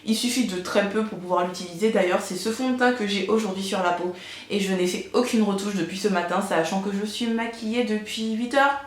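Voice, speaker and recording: A woman with a cold, talking casually to her viewers about beauty products she bought, her voice a little hoarse and low for her, with lots of fillers. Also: brisk. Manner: chatty, many fillers and repeated "donc". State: congested, recovering from flu. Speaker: beauty vlogger. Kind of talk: casual beauty haul vlog. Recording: echoey room.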